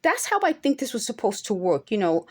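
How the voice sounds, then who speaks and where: A woman's voice: mid-range voice, very animated, a little fast. A woman in her forties talking straight to camera in an unscripted monologue, earnest and insistent, now and then rising to emphatic peaks. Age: 40s. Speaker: vlogger.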